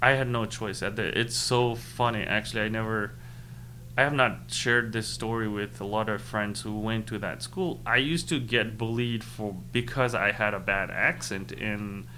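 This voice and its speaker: Young guy, speaks khas first, talks slow and thoughtful, lots of ums, soft hum behind him. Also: fairly low pitch. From Nepal. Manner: thoughtful, with many fillers and restarts. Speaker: interviewee. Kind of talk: casual interview.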